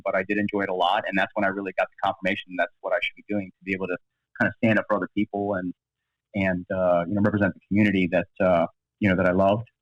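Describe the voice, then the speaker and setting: A man's voice: sits low, no echo, muffled recording. A middle-aged American man from Maryland, an attorney giving rambling, conversational interview answers. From Maryland, United States. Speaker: podcast guest, attorney.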